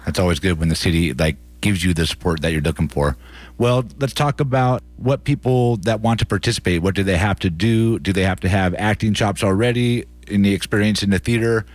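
A man's voice: low-pitched, a little fast. A man hosting a radio interview, asking informal, conversational questions.

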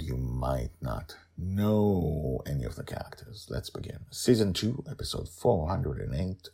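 A man hosting a story podcast, talking in an unedited, conversational way with emphatic, dramatic delivery and a gravelly, husky voice. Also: rumbling low, a little mellow.

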